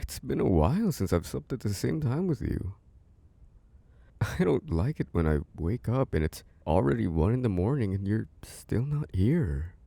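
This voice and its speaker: A man playing a doting, protective boyfriend in an intimate ASMR sleep-aid roleplay, murmuring soft, coaxing reassurance and endearments. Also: fairly low pitch, very animated, moderate pace, gravelly, dry recording, heavily acted. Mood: gently pleading.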